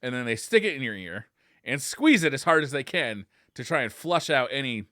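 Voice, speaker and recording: A man chats casually as a podcast co-host. His bright, forceful voice swings widely in pitch as he jokes and swears. The sound is very dry, with hardly any room to it. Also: brisk, no room sound. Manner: animated, profane, joking. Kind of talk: casual chat.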